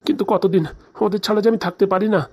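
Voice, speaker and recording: Man voicing a high-pitched, earnest cartoon uncle. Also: fairly even intonation, racing, mellow. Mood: hurt, plaintive. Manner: plaintive, earnest. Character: wounded, homesick middle-aged uncle, honest and attached. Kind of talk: Bangla comedy cartoon dialogue.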